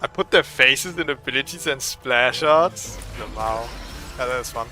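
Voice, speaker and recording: A young man with a German accent, giving excitable, swearing, trash-talking gameplay commentary. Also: mid-range voice, measured pace, faint music behind. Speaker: gaming streamer.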